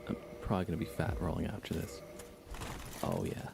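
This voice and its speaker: A man softly giving casual ASMR commentary as he livestreams a game, often slipping into a near-whisper. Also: very deep voice, big pitch swings, a little fast, gravelly, noisy background. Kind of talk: soft ASMR gaming commentary, casual chat.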